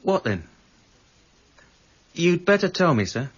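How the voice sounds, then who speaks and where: An actor playing a dutiful, polite, probing detective sergeant with an English accent in a radio crime drama. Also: male voice, on the high side, big pitch swings, takes it slowly, husky, exaggerated voice. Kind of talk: 1990s British radio drama acting. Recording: dull, noisy archive radio recording.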